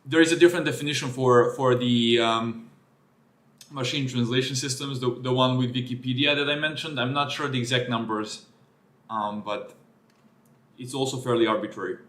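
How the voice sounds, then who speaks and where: A man talks through a technical paper live, thinking aloud and correcting himself as he goes. His voice sits at an ordinary middle pitch. The room around him gives a noticeable echo. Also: fairly even intonation, normal speed, a bit bright. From Serbia. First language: Serbian. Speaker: streamer reading a research paper. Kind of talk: live-streamed paper walkthrough.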